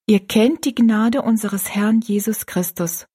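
A woman voicing an earnest, pious little girl who gently explains a Bible verse to a friend. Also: mid-range voice, measured pace, husky, voice pushed up.

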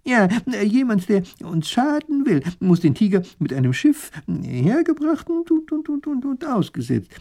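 A man's voice: sits high, wildly swooping pitch, a little slow, few pauses, dark tone, voice pushed up, full character voice. A man doing a stammering fussy old professor. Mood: fretful. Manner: stammering with many ums. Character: fussy hesitant old male scholar.

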